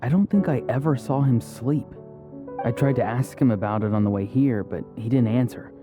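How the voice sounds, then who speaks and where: An actress playing a quiet, pensive adventurer in a video game, subdued and thoughtful. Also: female voice, extremely low pitch, normal speed, over music.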